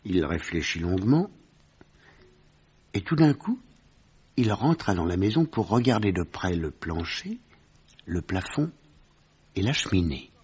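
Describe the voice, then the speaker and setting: Casting: doctor-narrator, deep and husky, composed and sensible, detective radio play with dull sound. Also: male voice, very animated, unhurried, long pauses, darkish tone, exaggerated voice. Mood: composed, storytelling. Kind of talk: scripted radio drama narration.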